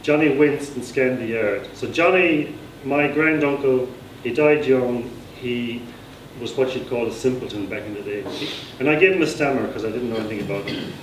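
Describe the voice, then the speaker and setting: Male Irish author for live story reading, mid-pitched, recorded at a distance with faint noise. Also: moderate pace. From Ireland. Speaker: author reading own book. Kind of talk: live literary reading with informal asides. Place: live reading at a society gathering. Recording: echoey room, distant mic, background noise.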